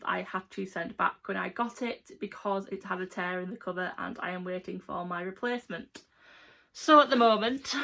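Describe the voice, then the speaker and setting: A woman's voice: medium pitch, fairly bright tone. A young adult woman with an English accent, chatting casually and informally to viewers as a book vlogger, rambling a little. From England. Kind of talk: casual chat to camera.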